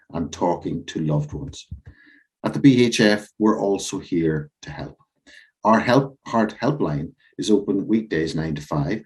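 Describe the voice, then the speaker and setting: Male host, very low voice, measured scripted delivery over a video call. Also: darkish tone, rough recording. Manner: measured, with small slips and fillers. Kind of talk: reading a scripted webinar introduction.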